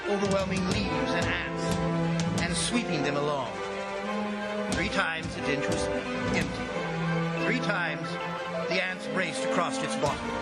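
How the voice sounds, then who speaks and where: An actor playing an anxious commissioner who narrates a radio drama in a grave, theatrical manner. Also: male voice, on the high side, lightly acted. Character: anxious government commissioner, narrator recounting the siege.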